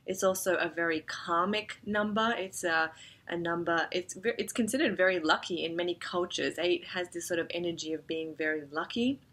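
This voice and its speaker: Nasal woman with a cold, gently rambling. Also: lowish voice, moderate pace. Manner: gentle, rambling, with frequent fillers. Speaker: astrology forecast host. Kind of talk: casual spiritual forecast talk.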